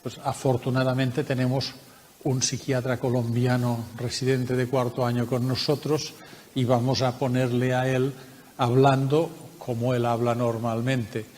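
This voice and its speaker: A man's voice: medium pitch, level tone, darkish tone, a little hiss or hum. Spanish man lecturing in an echoey hall. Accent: Spanish from Spain. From Spain. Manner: measured, explanatory, with frequent hesitations. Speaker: researcher presenting a project. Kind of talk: conference workshop talk. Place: conference room.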